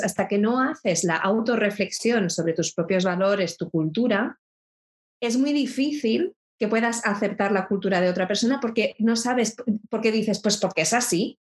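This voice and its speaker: Woman explaining thoughtfully. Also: mid-range voice. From Spain. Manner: thoughtful, explanatory, frequent restarts. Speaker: intercultural educator. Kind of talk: reflective interview talk.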